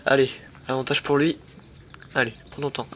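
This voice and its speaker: A young man with a head cold, casually commenting on his own tennis match in slangy, excitable talk to his viewers. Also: medium pitch, normal speed, slight background noise. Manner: slangy, excitable, talks to viewers as "les mecs".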